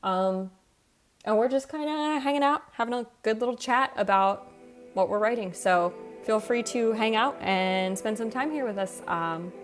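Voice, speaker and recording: A woman's voice: a little high, normal speed. A young adult woman livestreamer chatting casually with her viewers in a self-deprecating way. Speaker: live streamer. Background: soft video game music.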